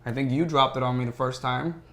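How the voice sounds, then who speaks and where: A man's voice: medium pitch, fairly even intonation, a little fast, a bit bright. A young adult man talking casually as a guest on a podcast. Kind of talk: casual chat. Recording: faint background noise and room ambience.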